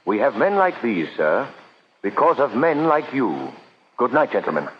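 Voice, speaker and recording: A man plays a proud colonial revolutionary in a vintage radio play. He declaims firmly, with a widely moving pitch. The recording is dull, with faint noise. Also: very animated, normal speed, natural voice. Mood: stern. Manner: firm, declamatory. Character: bold, principled male revolutionary pamphleteer, firm and proud. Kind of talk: old-time radio drama acting.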